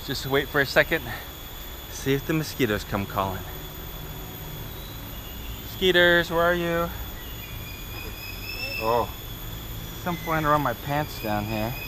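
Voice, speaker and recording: A man in his forties hosting a casual outdoor vlog, his voice pitched a little high for a man. He chats to viewers as he walks a jungle trail, filming on a handheld camera. Faint outdoor noise sits beneath his voice. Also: expressive, takes it slowly, pauses often. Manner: chatty, narrating to viewers while hiking. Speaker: vlogger. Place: outdoors in a tropical jungle by a river. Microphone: handheld action camera.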